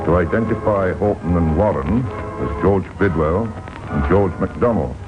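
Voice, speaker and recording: A man narrating a vintage radio crime series in a deliberate, dramatic storytelling manner. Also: low voice. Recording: dull vintage radio recording with music. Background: music.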